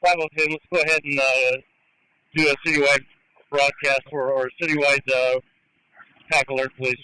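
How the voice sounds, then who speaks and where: A man's voice: medium pitch, level tone, normal speed, sharp tone, clear, resonant tone, no echo, rough recording. A male police incident commander giving instructions over the radio, heard on a scanner.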